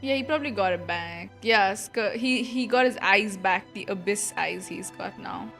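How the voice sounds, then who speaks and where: A woman's voice: mid-range voice, moderate pace, creaky, a bit bright. A woman streamer giving informal live reactions to a game, musing aloud as she watches. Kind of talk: casual live reaction commentary. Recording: faint game music behind.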